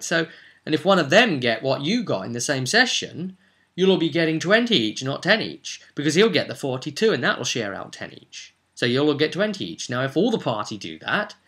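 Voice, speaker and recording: A man's voice: on the high side, a bit bright. A man giving an informal advice monologue as a game master and host, chatty and emphatic, often putting rhetorical questions to the listener.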